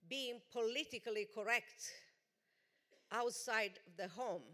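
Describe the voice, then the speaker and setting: Slow-speaking woman with a Romanian accent. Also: medium pitch, a bit bright. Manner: earnest, deliberate, emphatic. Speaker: guest speaker. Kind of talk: personal testimony talk. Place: church. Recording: long church hall reverb.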